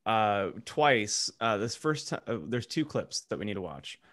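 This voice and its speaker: A man with a somewhat low-pitched voice chats as a podcast co-host. His delivery is informal and rambling, like off-the-cuff talk among friends. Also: sing-song, a little slow, fairly bright tone. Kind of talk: casual chat.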